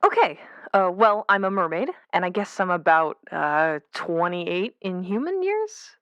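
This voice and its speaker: A woman's voice: fairly low pitch, very animated, a little slow, a bit bright, no room sound, dull audio, exaggerated voice. A woman playing a friendly mermaid in her late twenties, casual and slightly hesitant, calling a radio show in an audio drama. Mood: open, slightly uneasy.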